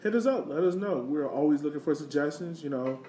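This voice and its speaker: A man's voice: a little high, expressive, a little fast, warm tone. An American man co-hosting a podcast, chatting casually about sports. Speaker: podcast co-host. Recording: roomy, distant mic, faint background noise.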